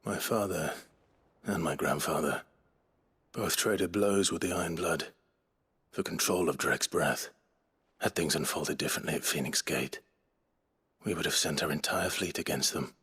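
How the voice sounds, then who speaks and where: A man playing a brooding, resolute warrior nobleman in a video game, husky and earnest. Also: rumbling low, normal speed, a bit bright, heavily acted. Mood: grim, resolute. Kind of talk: dramatic video game acting.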